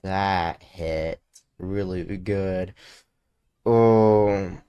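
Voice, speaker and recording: Slow-talking reaction host, casual and rambling. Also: low-pitched, steady tone, clear, resonant tone, dull audio. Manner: rambling, frequent use of like.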